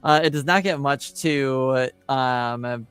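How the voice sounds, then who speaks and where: A man running a tabletop roleplaying game, narrating off the cuff and haltingly as he searches for words. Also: mid-range voice, fairly bright tone, clear, resonant tone, dry recording, faint music behind. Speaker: game master.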